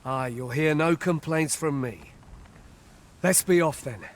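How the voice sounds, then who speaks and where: An earnest village guide from a fantasy game, protective of his brother. His voice sits a little high and. He speaks in plain, old-fashioned rustic phrases, with noisy ambience behind him. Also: slow pace. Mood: relieved. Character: worried village man, protective older-seeming brother and forest guide. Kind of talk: scripted fantasy game dialogue in archaic English.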